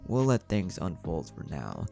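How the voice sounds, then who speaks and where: A calculating masked villain, acted by a male narrator in an anime fan story. The voice is very deep and gravelly, with slow, deliberate menace over faint music. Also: huge pitch swings, dark tone, heavily acted. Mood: calculating, menacing.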